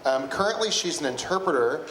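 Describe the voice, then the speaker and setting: A man's voice: a little high, lively intonation, fairly quick, fairly bright tone. A man with an American accent hosts a live event casually, with informal, repetitive phrasing. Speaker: event host. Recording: echoey room, clear background noise.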